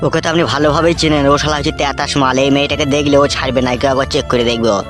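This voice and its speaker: A deferential but cheeky male henchman from a village comedy cartoon, acted by a man. His voice sits rather high and he sounds inquisitive and cautious. Music plays underneath. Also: flat delivery, racing, close to an everyday voice. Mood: warning. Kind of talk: comic cartoon dialogue.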